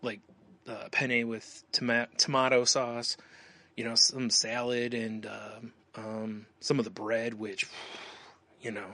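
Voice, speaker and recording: A man's voice: medium pitch, level tone, takes it slowly, bright, forceful tone, no room sound. A man co-hosting a podcast, telling a rambling story in casual chat with lots of fillers and frequent laughs. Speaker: podcast co-host.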